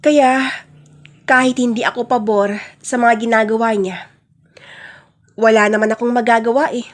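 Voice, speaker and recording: A woman's voice: fairly high pitch, steady tone, normal speed, lightly acted. A woman voicing a sad, submissive mother, apologetic and resigned, in a radio-style family drama.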